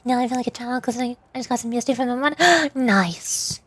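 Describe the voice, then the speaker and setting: High-voiced woman streaming a game. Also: brisk, fairly bright tone. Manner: reads dialogue aloud in character with joking asides. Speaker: VTuber streamer. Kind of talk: playful game stream voice acting and commentary.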